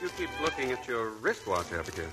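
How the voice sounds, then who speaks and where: A man's voice: mid-range voice, very animated, brisk, close to an everyday voice. An actor playing a charming, aimless young man from an old family, in 1940s radio acting, wistful and self-mocking. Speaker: Robert Manette. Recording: old radio broadcast with faint music.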